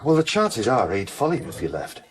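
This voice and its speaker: An actor playing an earnest, worried police detective in a radio crime drama, cautious but insistent, sometimes hesitant. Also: male voice, medium pitch, huge pitch swings, fairly quick, slight background noise. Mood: urgent, pleading.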